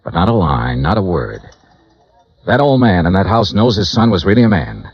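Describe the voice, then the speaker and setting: An American actor playing a weary, clipped insurance investigator in a 1950s radio noir drama, narrating his own case in a deadpan way. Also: low-pitched, moderate pace, dry recording, full character voice. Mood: bitter reproach. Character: hard-boiled, weary male insurance investigator. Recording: dull vintage radio recording, music behind.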